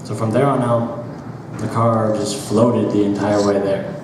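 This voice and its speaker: Man, medium pitch, theatrical literary reading, deliberate pauses, heavy hall reverb, noisy distant recording. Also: level tone. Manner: theatrical, deliberate, with drawn-out pauses and rhythmic repetition. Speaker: author reading own work aloud. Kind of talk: dramatic literary reading of first-person prose. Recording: very echoey hall, distant mic, background noise.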